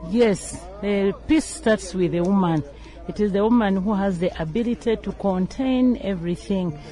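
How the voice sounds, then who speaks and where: A woman's voice: medium pitch, normal speed, warm tone. Female management lecturer, Ugandan accent, formal spoken interview answers.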